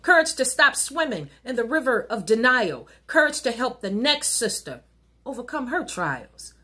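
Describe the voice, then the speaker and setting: A middle-aged woman minister reciting an inspirational rhyming poem in an earnest, rhythmic, exhorting way, with a slightly husky voice. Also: a little high, sing-song, moderate pace, pushed and bright. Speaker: minister and poet. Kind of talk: recited rhyming spoken-word sermon poem. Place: wood-paneled living room at home.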